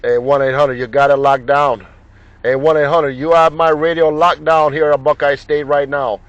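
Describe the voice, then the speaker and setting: Higher-pitched CB operator, muffled sound. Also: male voice, steady tone, normal speed, a little hiss or hum. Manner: repeats names and call phrases. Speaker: CB radio operator recording contacts. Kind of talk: radio contact chatter with repeated call signs.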